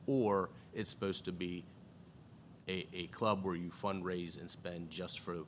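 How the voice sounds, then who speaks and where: A man's voice: deep voice, fairly even intonation, measured pace, slight background noise. A man on a school board explaining fund rules in a plainspoken way, with some restarts. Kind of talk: meeting discussion.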